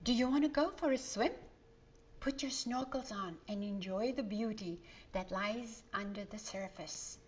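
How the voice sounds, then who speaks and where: Slow-paced woman reading a children's story. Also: mid-range voice, lo-fi sound, a little hiss or hum. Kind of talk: expressive read-aloud children's storytelling, with asides to listeners.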